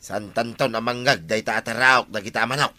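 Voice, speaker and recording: Man playing a sharp-voiced, grouchy Ilocano complainer. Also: mid-range voice, a little fast. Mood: irritated complaining. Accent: Filipino, Ilocano. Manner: querulous, insistent. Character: grouchy village man forever complaining about noisy roosters. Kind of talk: amateur comedy skit acting.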